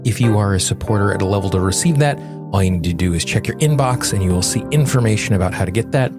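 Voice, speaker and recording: A man's voice: lowish voice, animated, quick pace, music in the background. An American man hosting a solo podcast, explaining things and speaking directly to his listeners. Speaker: podcast host.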